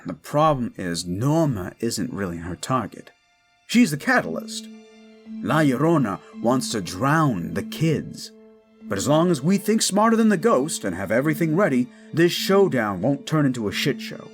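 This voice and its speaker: A man's voice: mid-range voice, big pitch swings, normal speed, soft background music, natural voice. A man playing an earnest, devout ghost hunter in an audio drama, conversational and a little rambling. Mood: cautioning. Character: earnest, devout male ghost hunter with folk-religious methods.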